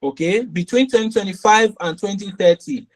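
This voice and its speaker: A man's voice: high-pitched, moderate pace, low-quality recording. A man instructing a live online class. He often repeats key phrases and checks in with "okay" and "all right". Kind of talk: live webinar teaching.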